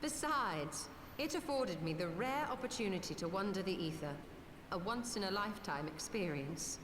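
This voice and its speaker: An actress playing a composed, resolute female mage scholar in a fantasy game, speaking in measured, formal archaic phrases. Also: mid-range voice, expressive, roomy sound, long reverb tail, recorded from a distance, noisy background, lightly acted. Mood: calm resolve. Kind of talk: scripted fantasy game acting.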